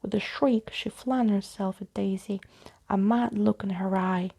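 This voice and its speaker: A woman reading a story aloud in a soft ASMR style, hushed and often half-whispering. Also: medium pitch, steady tone, measured pace, creaky, husky.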